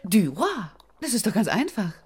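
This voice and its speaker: A woman's voice: medium pitch, sing-song, normal speed, husky. An actress in a German radio play playing a scheming, self-assured society woman, coaxing and decisive, with music underneath.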